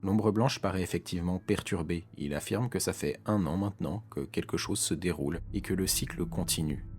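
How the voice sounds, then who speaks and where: A man giving scripted explanatory narration in French video-essay style, with a measured, serious storytelling manner. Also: low-pitched. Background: background music.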